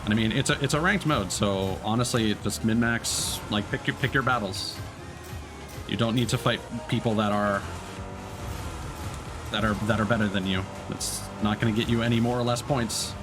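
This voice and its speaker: A man's voice: on the low side, normal speed. Casual male game streamer over music. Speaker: video game livestreamer. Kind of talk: casual live gaming commentary.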